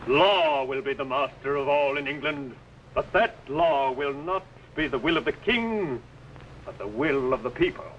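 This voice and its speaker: A man's voice: mid-range voice, animated, a little slow, fairly bright tone. A man playing a solemn, firm medieval English statesman in a declamatory, stately 1950s television style. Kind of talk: 1950s television historical acting. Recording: slightly noisy old TV soundtrack.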